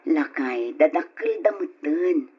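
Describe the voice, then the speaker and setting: Husky, caring mother. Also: low voice, huge pitch swings, slow pace, full character voice. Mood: concerned, coaxing. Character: caring, practical mother of a poor family, long-suffering wife. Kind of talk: old radio drama acting in Ilocano. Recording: muffled lo-fi radio recording.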